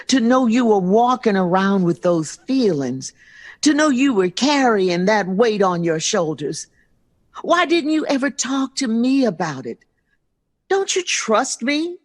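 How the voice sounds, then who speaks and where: A woman's voice: on the high side, sing-song, slow pace, full character voice. An American actress playing a hurt, loving middle-aged mother in an audio drama, emphatic and emotionally open. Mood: wounded, pleading.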